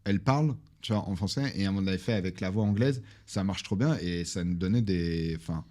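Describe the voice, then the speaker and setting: A young French man, a guest on a podcast, telling business stories in a casual, animated way with lots of fillers. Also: sits low, fairly quick. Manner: animated storytelling with many fillers. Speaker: online business founder, guest.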